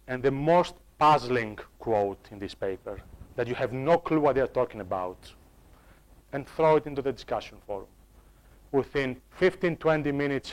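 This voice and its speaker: A man of ordinary pitch giving a workshop talk on designing online courses. He speaks in a halting way, restarting phrases and doubling words, and he turns to his listeners with quick questions. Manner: halting, restarts and repeats words while explaining; addresses the audience directly with questions. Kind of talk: live workshop talk to staff.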